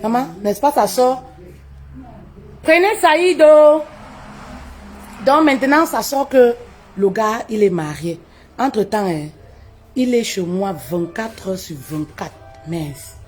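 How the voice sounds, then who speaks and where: A woman, telling her own story live, her voice jumping high for emphasis and dropping low and quiet. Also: slow, pauses often, soft background music. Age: 30s. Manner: expressive, swoops high for emphasis, drops low and quiet, laughs often. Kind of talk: animated personal storytelling. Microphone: phone on a live stream.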